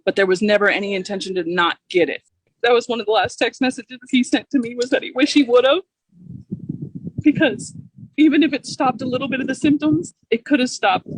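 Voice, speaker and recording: American woman, husky rasp, dry close sound, medium pitch, grieving, emotional personal account. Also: fairly quick, dry recording, poor audio. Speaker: bereaved partner interviewed for local news. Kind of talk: personal account in a news interview.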